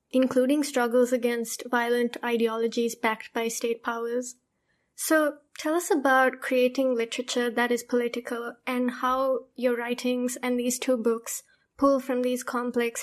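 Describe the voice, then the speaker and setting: Even-toned Sri woman host. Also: fairly high pitch. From Sri Lanka. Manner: measured and composed, delivering long framed questions. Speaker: podcast host and interviewer. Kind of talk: prepared interview questions.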